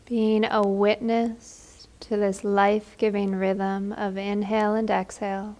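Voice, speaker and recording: A woman leads a guided meditation at a very slow pace. Her delivery is gentle and soothing, with little rise or fall in a mid-range voice. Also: mid-range voice, little pitch movement.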